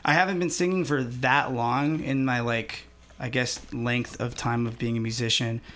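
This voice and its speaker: A man in his twenties, a musician, talking casually in an interview in a relaxed, rambling way with many pauses. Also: medium pitch. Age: 20s. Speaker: musician guest.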